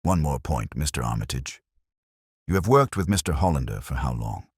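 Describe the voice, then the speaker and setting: A man acts out a cool, probing male detective in a storybook, with a very deep voice. His formal, exacting speech swings noticeably in pitch, every question placed with deliberate precision. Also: expressive, slightly rough, no echo, studio quality, full character voice. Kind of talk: audiobook character reading.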